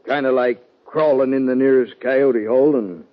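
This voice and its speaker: A man playing a sheepish, plain-spoken Old West sheriff in a 1950s radio drama, talking in a halting, folksy way. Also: medium pitch, lightly acted. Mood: sheepish, apologetic. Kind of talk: 1950s radio western acting. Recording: muffled old radio recording.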